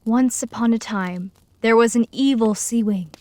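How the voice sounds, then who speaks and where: Casting: a woman for confident campfire-tale dragoness, slightly high voice, playful, dry recording. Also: normal speed, dark tone, dry recording, lightly acted. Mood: storytelling. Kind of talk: fan audio drama storytelling.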